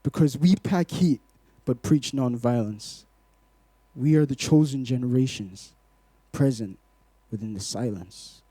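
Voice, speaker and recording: A man in his twenties from Glasgow, born in Malawi, speaking to a congregation as a guest giving testimony, in a measured, poetic way. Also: medium pitch, dark tone, slightly rough, no room sound, low-quality recording. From Glasgow, Scotland, born in Malawi. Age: 20s. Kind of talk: rhythmic spoken-word poetry in places.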